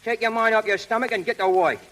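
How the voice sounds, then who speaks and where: An actor playing a brash, bossy American street tough in a 1930s radio comedy, scolding in slang. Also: male voice, high voice, quick pace, pushed and bright. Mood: bossy, irritated. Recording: vintage 1930s radio recording.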